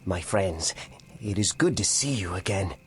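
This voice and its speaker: A friendly mystic ally from a fantasy game. His delivery is expressive and earnest, hushed yet urging. Faint noise sits behind him. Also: low-pitched, a little slow. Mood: friendly urgency. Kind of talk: video game cutscene acting.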